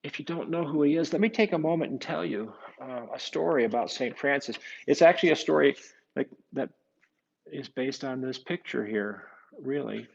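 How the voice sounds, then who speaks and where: A man reading a children's picture book aloud as a priest, with musing, halting asides to his young listeners. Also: medium pitch, creaky, darkish tone, breathy edge, highs cut off. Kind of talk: storybook read-aloud with casual asides to children.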